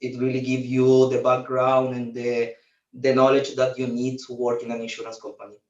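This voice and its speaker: A man's voice: mid-range voice, completely flat delivery, normal speed. Man on a distant, roomy call. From Chile. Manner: hesitant, searching for words. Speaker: alumnus guest. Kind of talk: casual remote testimonial. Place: office. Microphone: video call. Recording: roomy, distant, dull video-call audio.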